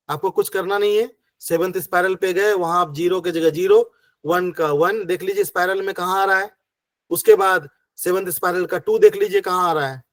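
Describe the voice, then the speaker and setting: A man's voice: sits high, no echo. A man with a sore throat and a cough, patiently teaching an online acupressure class and counting points one by one. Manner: patient, counts numbers step by step. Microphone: video call.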